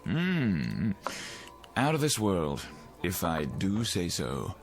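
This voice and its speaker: A man's voice: sits low, huge pitch swings, takes it slowly, soft background music, exaggerated voice. An actor playing a wry, troubled newspaperman with an arch, mock-formal, hesitant manner, in mid-century television drama style. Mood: wry, tipsy.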